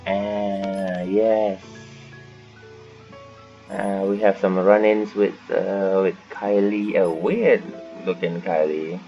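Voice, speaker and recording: Low-voiced man, slow and halting. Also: fairly even intonation. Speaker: gaming channel host. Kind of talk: casual playthrough commentary. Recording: dull lo-fi mic. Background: game music.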